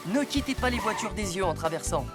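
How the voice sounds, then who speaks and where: A woman voicing a calm, caring rescue robot in a French-dubbed preschool cartoon, explaining patiently and didactically. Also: mid-range voice, quick pace, lightly acted. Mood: patient, instructive. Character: calm, caring rescue car robot teaching road safety. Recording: loud music behind the voice.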